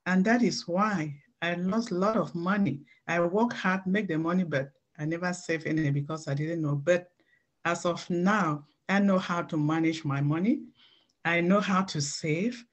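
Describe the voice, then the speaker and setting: A middle-aged woman giving an earnest, halting personal testimony before a training presentation. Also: fairly low pitch, dull audio. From Cameroon.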